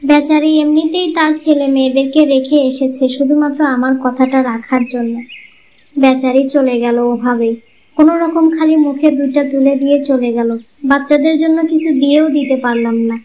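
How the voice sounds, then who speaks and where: Cartoon dub: a woman as a sharp-tongued, scheming young wife, Bangladeshi Bengali accent, high voice, muffled lo-fi audio. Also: steady tone, slight background noise, lightly acted. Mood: aggrieved. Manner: complaining, quick retorts. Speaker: cartoon voice actor. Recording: dull lo-fi sound.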